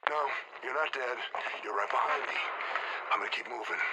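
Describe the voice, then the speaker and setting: A man's voice: mid-range voice, a little fast, natural voice. An actor playing a determined, loyal male super-soldier in a video game audio drama. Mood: grieving, defiant. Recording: noisy radio-style field log.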